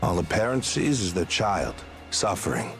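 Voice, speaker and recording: Low-voiced, earnest pirate crewman. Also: big pitch swings, moderate pace, creaky, faint music behind, exaggerated voice. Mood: somber, earnest. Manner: earnest, explanatory storytelling. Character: earnest, loyal veteran male pirate crewman. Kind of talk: video game voice acting.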